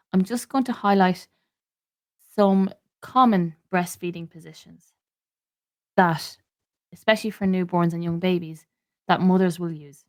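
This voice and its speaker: A woman's voice: lowish voice, a little mellow. An Irish woman in her thirties hosting a podcast, talking in an earnest, explanatory way while stuffy with a cold and a sore throat. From Ireland. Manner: earnest, explanatory, frequent "um" and restarts. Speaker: podcast host. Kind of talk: informative solo podcast talk.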